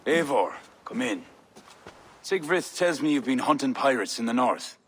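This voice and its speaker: A man playing a pious, commanding medieval Irish high king in a video game, solemn and declamatory. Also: lively intonation, normal speed, a little hiss or hum, close to an everyday voice. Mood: welcoming authority. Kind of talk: video game cutscene acting.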